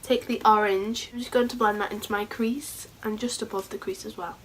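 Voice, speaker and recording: A woman with a sore throat and a hoarse voice, chatting casually and a bit scatterbrained through a makeup tutorial. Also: medium pitch, fairly even intonation, a little fast, rough recording. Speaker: beauty vlogger.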